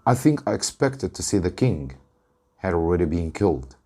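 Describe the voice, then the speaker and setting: A man from Egypt, an English teacher, reading a story aloud with deliberate, dramatic emphasis. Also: sits low, big pitch swings, dark tone, slightly rough.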